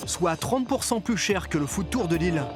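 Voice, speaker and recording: High-pitched male documentary narrator over music. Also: expressive, normal speed. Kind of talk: scripted reportage narration.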